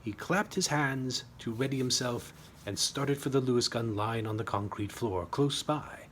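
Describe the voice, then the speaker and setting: A man's voice: mid-range voice, measured pace, a little hiss or hum. A man reading his own short story as a scripted narration, with a wry, tongue-in-cheek manner.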